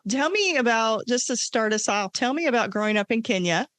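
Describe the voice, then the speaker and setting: A woman's voice: fairly high pitch, lively intonation, a little fast, a bit bright, no room sound. A woman speaking conversationally as a podcast host and interviewer.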